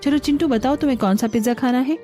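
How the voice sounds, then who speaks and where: A woman's voice: sits high, quick pace, warm tone, soft background music, natural voice. A woman voicing an obliging, put-upon young daughter-in-law in a cartoon, speaking politely. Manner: polite, accommodating. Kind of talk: Hindi cartoon dialogue.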